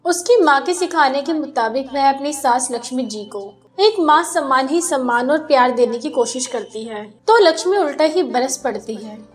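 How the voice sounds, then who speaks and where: A woman's voice: high voice, lively intonation, moderate pace, few pauses. A woman reading scripted narration of a family moral story as a storyteller.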